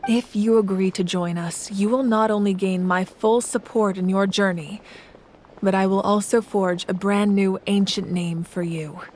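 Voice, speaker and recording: A woman as a calm, resolute ruler. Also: mid-range voice, natural voice. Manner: measured, composed, thoughtful. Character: adult female ruler of a land, resolute and calm. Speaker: ruling Archon. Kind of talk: video game voice acting. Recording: game audio with faint music behind.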